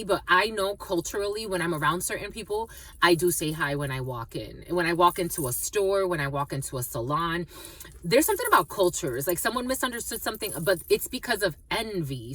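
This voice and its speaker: A woman's voice: on the low side, fairly bright tone, far from the mic. A woman with family from the Dominican Republic, giving a rambling tarot reading full of fillers and restarts. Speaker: tarot reader. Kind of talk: casual spiritual reading monologue.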